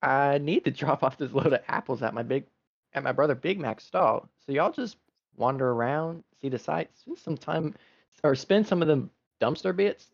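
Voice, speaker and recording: A man's voice: medium pitch, lively intonation, moderate pace, gravelly. A man voicing an impatient country farm pony mare, with a folksy drawl, reading her lines in a fanfic. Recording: dull lo-fi sound.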